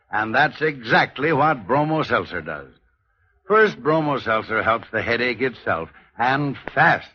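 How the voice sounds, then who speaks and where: An American male announcer pitching a product on old-time radio. His medium-pitched voice is lively and emphatic with a folksy salesman's touch, heard through muffled vintage broadcast sound. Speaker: commercial announcer. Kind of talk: 1940s radio advertising pitch.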